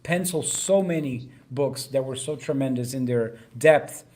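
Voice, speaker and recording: A man's voice: medium pitch, unhurried, darkish tone. A man hosting a conversational interview, speaking emphatically and chiming in on the guest's points. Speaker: host.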